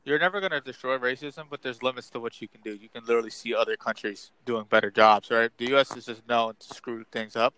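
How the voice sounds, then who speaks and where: A man's voice: mid-range voice, quick pace, hardly any pauses, pushed and bright, clean tone, no echo, rough recording. A young man hosting a casual debate, pressing others with pointed questions.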